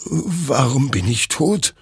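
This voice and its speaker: A man's voice: medium pitch, lively intonation, takes it slowly, husky, no echo, clean studio sound, pitched above the performer's own voice, exaggerated voice. A man voicing a frightened, battle-weary soldier in an audiobook, desperate and defiant, with a trembling, pleading delivery. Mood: despairing.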